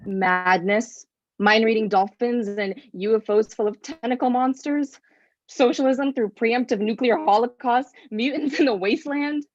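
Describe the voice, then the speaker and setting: A woman's voice: a little high, measured pace, dry recording, close to an everyday voice. Actress as hard-line radical woman, dull close sound. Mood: scornful, radical. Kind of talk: live staged play reading. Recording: dry, slightly dull microphone.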